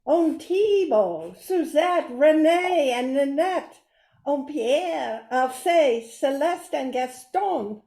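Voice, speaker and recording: Woman as high-voiced hospitable Cajun lady, echoey. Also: lively intonation, extremely slow, warm tone, exaggerated voice. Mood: storytelling. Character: hospitable Cajun bayou woman telling local Christmas lore. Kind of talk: amateur radio play acting. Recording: echoey room.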